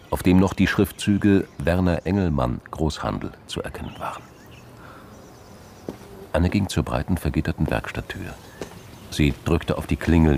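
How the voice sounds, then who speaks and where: A man narrating a scripted crime drama in a measured, sober storytelling manner. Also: a bass voice, gravelly, darkish tone, slight background noise.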